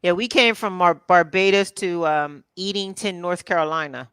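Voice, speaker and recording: A woman from Philadelphia chatting casually as a co-host, relaxed and chatty. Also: fairly low pitch, clear, resonant tone, no room sound. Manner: relaxed, chatty, drawn-out fillers. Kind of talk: casual chat.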